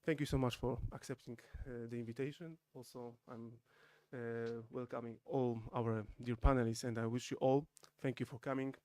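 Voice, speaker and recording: A man's voice: mid-range voice, fairly even intonation, a little mellow, dry recording. Formal man opening a panel. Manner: halting, formal, with frequent fillers. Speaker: event host. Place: conference room at a podium. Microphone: podium microphones.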